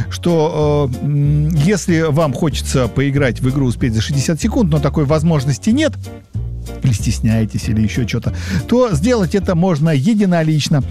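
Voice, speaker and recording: A man's voice: fairly high pitch, warm tone. Upbeat male radio quiz host. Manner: brisk question reading, chatty with fillers and ironic asides. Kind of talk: casual radio hosting, reading quiz questions.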